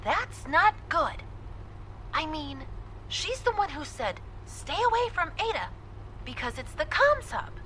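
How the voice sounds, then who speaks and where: A woman's voice: high-pitched, big pitch swings, a little slow, pushed and bright, slight background noise, full character voice, rough recording. A woman playing a frustrated, determined organizer at a moon base in an audio drama. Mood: worried.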